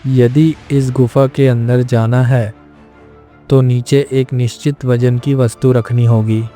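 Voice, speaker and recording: Guy playing a brave honest hero, soft mellow tone, medium pitch, music under it. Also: flat delivery, lightly acted. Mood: reading aloud. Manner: earnest, deliberate. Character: brave, earnest, polite young hero. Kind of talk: animated moral story acting.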